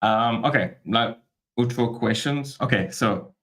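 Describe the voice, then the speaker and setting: A man's voice: medium pitch, a little slow, fairly bright tone. A man hosting a podcast who teases his guests playfully and jokes around. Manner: playful, teasing. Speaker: host. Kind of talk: casual podcast chat.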